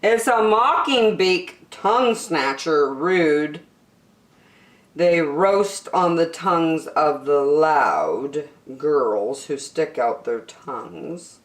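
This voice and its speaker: Woman reading as an emphatic, slow storybook doctor. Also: low voice, animated, echoey room. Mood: grave warning. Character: male doctor, grave, slow, advising patience. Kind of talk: storybook character voice.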